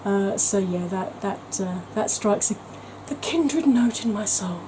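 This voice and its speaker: A woman's voice: medium pitch, expressive, unhurried, background noise. A woman talking in a chatty, gushing way as a beauty vlogger, with frequent ums. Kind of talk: casual vlog chat.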